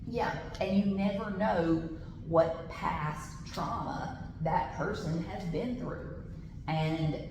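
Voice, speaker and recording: A woman with a loud voice leading a classroom training, chatty, with personal asides and frequent restarts. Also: fairly low pitch. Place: large training room with tables. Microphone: camera on the table. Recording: echoey room, background noise.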